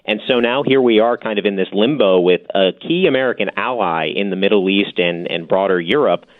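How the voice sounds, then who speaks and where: A man reporting as a defense correspondent, explaining policy in a measured, explanatory way. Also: fairly low pitch, narrow, tinny audio. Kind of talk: news analysis.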